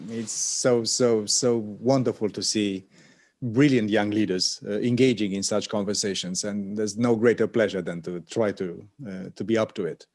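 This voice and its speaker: Mellow-voiced man, measured and thoughtful. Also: on the low side, fairly even intonation. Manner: measured, thoughtful, with frequent pauses. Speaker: alliance official answering questions. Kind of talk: panel conversation answer. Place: remote location for an online panel. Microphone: video call.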